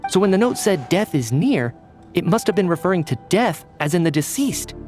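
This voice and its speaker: A man's voice: sits high, sing-song, moderate pace, darkish tone. An actor playing a clever, inquisitive man in a video game mystery, reasoning aloud in an earnest manner. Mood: earnest, deductive. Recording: game music behind the voice. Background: game music.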